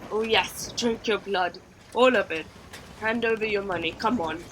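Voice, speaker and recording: A woman's voice: medium pitch, normal speed, a bit bright, slight background noise, close to an everyday voice, rough recording. A woman voicing a boastful, bumbling male river pirate captain in a storybook, with a proud, blustering delivery. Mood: gloating.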